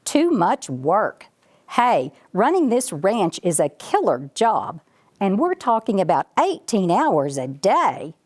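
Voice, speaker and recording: A self-important ranch dog from a children's storybook, read by a woman. The delivery is unhurried, with pitch that moves up and down a lot as the dog brags and explains things to the listener in a folksy, chatty way. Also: darkish tone. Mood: boastful, put-upon. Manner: bragging, chatty, addressing the listener directly.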